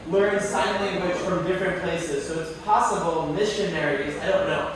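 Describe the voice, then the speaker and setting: A man's voice: high-pitched, normal speed. A man speaking as a guest in a university classroom, chatty and rambling, often asking students questions. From United States, near New York City. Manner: chatty, rambling, many fillers and self-corrections. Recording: echoey room, distant mic, faint noise.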